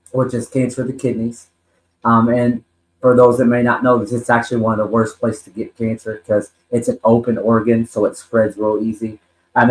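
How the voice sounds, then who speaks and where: Earnest rambling man in an echoey room. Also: mid-range voice. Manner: earnest, rambling storyteller with many ums and restarts. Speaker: podcast guest, wrestler and talent manager. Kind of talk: personal story in a podcast interview.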